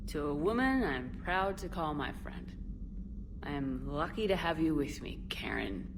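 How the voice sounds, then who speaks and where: A woman's voice: low voice, expressive, a little slow, background noise. An actress playing a resolute, direct female military commander in a video game. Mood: warm, sincere.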